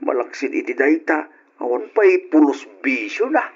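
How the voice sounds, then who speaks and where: A man playing a kindly, pious older father who dotes on his daughter, spoken gently and emphatically as in old Ilocano radio drama. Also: a little high, very animated, normal speed, breathy edge. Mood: approving. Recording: dull, lo-fi old radio recording.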